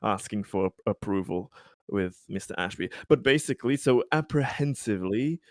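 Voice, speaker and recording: A man playing a tabletop roleplaying game, talking partly in character in a hesitant, halting way. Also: on the low side, animated, dry recording, clean studio sound. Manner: hesitant, halting, repeats himself.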